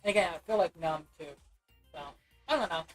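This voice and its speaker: A woman streaming a video game and chatting casually, her voice scratchy and hoarse, rambling with many restarts. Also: on the low side, expressive, fairly quick, faint music behind. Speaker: gaming livestreamer. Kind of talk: casual chat while playing.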